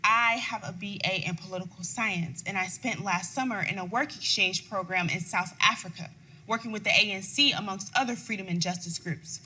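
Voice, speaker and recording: A woman's voice: mid-range voice, normal speed, bright, forceful tone, lightly acted, rough recording. An actress playing an assertive, well-educated young woman in a screen drama. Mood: indignant, firm. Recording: faint background noise.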